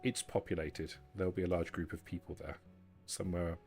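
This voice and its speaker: A man voicing a formal, guarded vampire woman who is quietly plotting to defect, speaking in measured, deliberate phrases. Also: sits low, fairly even intonation, faint music behind. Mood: cool, conspiratorial.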